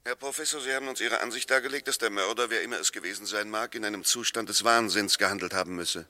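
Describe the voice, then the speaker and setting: An actor playing an eloquent, sceptical defence lawyer in a 1960s German radio drama, speaking in formal, rhetorical phrases. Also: male voice, on the low side, fast, pushed and bright, no room sound. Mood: probing, sceptical. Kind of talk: 1960s German radio drama acting, courtroom-style argument.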